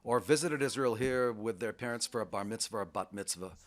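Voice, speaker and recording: A man's voice: on the low side, brisk. A middle-aged man speaks with eager enthusiasm in a radio interview. He talks at length, picks up sports metaphors and sometimes restarts a word mid-sentence. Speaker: university vice president, interviewee.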